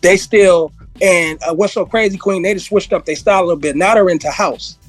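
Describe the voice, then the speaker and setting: A hoarse man, a music industry veteran, talking as a podcast guest, rambling through stories, repeating himself and laughing often. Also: high voice, brisk. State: hoarse, losing his voice. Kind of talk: casual interview conversation.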